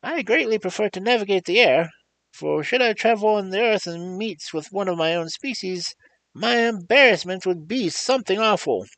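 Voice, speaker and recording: A man voicing a huge patchwork flying creature with a squeaky voice, gloomy and wondering. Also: animated, normal speed, fairly bright tone, no room sound, poor audio. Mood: plaintive. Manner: plaintive, wondering.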